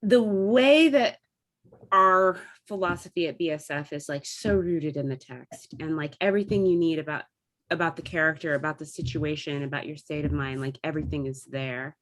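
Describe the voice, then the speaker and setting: A woman's voice: sits low, normal speed, low-quality recording. A woman talking casually and enthusiastically, like a theatre director thinking aloud about performance. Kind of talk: casual discussion of theatre craft.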